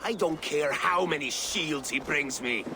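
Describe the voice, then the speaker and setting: A man's voice: fairly high pitch, unhurried, noisy background, lightly acted. Brusque Danish warrior played by a man. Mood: scornful. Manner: brusque, scornful. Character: proud, blunt, stubborn Danish warrior, adult male. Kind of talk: dramatic video game acting.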